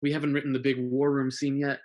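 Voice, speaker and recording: A man's voice: medium pitch, level tone, fast talker, a little mellow. A man who co-hosts a show, bantering and reading through a script in a conversational style. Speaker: show creator and co-host.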